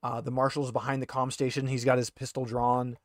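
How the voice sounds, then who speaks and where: A man running a tabletop roleplaying game, explaining rules and narrating in a casual, halting way as he thinks aloud. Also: medium pitch, flat delivery, no room sound, studio quality. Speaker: game master.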